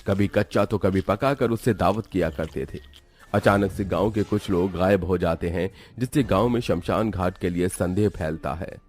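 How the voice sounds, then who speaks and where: A man's voice: low voice, normal speed, darkish tone. A man giving scripted narration of a cartoon horror tale as the storyteller. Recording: music under the voice.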